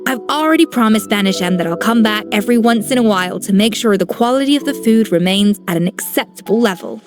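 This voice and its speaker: A woman voicing a composed grown-up master chef in a video game, calm and gently instructive like a mentor. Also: medium pitch, fast talker, close to an everyday voice. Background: soft game music.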